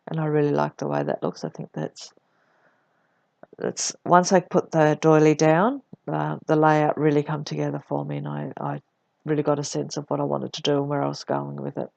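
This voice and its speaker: A woman narrates her crafting in a relaxed voice-over, her voice croaky and husky from a cold. Heavy gravel runs through her middle-pitched speech. The recording is dry and a little dull, like a lo-fi home setup. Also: normal speed, no room sound. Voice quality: deep and husky. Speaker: scrapbooking vlogger.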